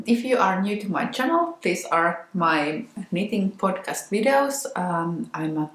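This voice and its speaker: A Finnish woman with a Finnish accent chats unhurriedly to her viewers about her knitting work. Her voice sits a little low and she often pauses with ums and restarts. The sound carries an echoey room around her. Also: sing-song. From Finland. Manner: hesitant, many ums and restarts. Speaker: knitwear designer and podcast host. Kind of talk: casual chat to camera.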